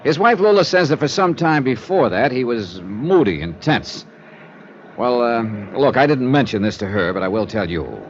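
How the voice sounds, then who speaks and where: A man's voice: mid-range voice, big pitch swings, lightly acted. An actor playing a hard-boiled male insurance investigator in a 1950s radio drama, dry and wisecracking. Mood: wry. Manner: dry, wisecracking, brisk clipped delivery. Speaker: narrator and lead character. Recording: dull old radio transcription with hiss.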